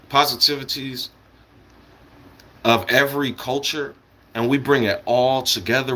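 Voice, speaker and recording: American male livestreamer, bright forceful voice, mid-pitched. Off-the-cuff, opinionated rambling with blunt, profane phrasing. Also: a little hiss or hum. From United States. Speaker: live streamer. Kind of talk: casual rambling livestream monologue.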